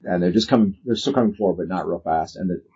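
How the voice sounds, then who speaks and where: A man's voice: low voice, fast talker, dark tone, breathy edge. A man narrating a tabletop game as game master, explaining scenes conversationally in plain detail. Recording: dull, noisy home recording.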